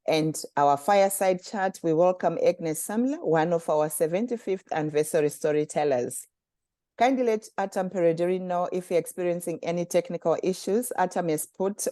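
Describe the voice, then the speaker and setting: Woman from zimbabwe hosting a webinar, formal and measured, lowish mellow voice, dry close sound. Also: dry recording. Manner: measured, formal, reading prepared remarks. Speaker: communications director and webinar host. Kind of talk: scripted webinar introduction.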